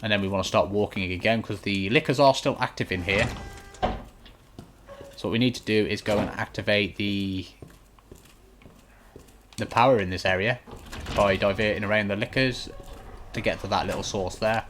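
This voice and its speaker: A man's voice: fairly low pitch, moderate pace, long pauses. A man giving a casual, matter-of-fact walkthrough of a video game, explaining and reassuring as he goes. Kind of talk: casual instructional gameplay commentary. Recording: clearly noisy home recording.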